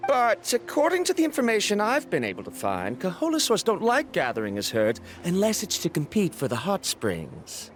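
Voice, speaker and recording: Stiff serious scholar guy, precise, bit high-pitched, soft music behind. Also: wildly swooping pitch, normal speed, few pauses. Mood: earnest. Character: earnest young male scholar, stiff. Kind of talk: video game voice acting. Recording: game audio with music behind.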